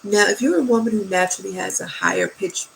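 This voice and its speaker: A woman with a naturally low, soft voice, giving dating advice slowly and sultrily and often checking in with "okay?" or "right?". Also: gravelly, a little hiss or hum, poor audio. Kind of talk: slow, soft-spoken advice talk.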